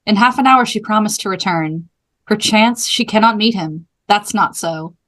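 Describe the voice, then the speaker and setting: This is a lovestruck young girl in a Shakespeare play, read aloud by a woman. She is impatient and entreating, speaking her verse in a measured way at a middle pitch. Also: fairly bright tone, close to an everyday voice. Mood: impatient, yearning.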